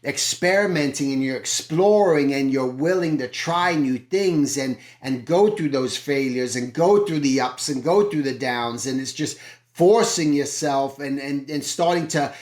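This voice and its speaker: A man, an art teacher and channel host, giving an earnest, encouraging motivational talk to his viewers. He often repeats phrases and asks himself questions out loud. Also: mid-range voice, unhurried, roomy sound.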